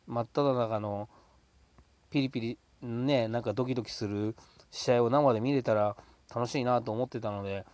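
A man hosting a casual solo talk show, speaking politely with drawn-out hesitations between phrases in a dry, close room. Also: mid-range voice, steady tone, a little mellow, no echo. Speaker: podcast host.

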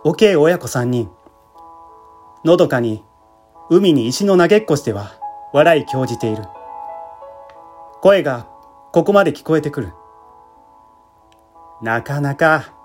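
A man's voice: fairly high pitch, expressive, measured pace, long pauses, darkish tone. A man reading a literary short story aloud as a dramatic narration. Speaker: amateur theatre troupe leader reading aloud. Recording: music bed behind the voice.

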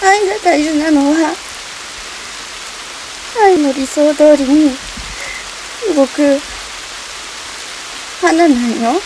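A woman's voice: sits high, extremely slow, pauses often, background noise. A woman playing a hurt, pleading young woman in a radio drama, speaking a western Japanese Chugoku dialect. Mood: tearful, reproachful. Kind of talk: amateur radio drama acting.

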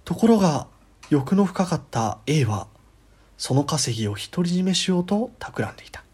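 A man narrating a scripted ghost story in a theatrical way, at times dropping to a hush. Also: on the high side, sing-song, moderate pace, breathy edge.

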